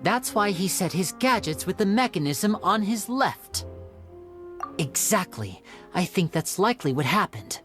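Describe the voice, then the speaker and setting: An actor voicing a young male stage magician in a video game, smooth, theatrical and explanatory. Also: sits high, sing-song, a little slow, full character voice. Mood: deducing. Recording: game audio with soft music.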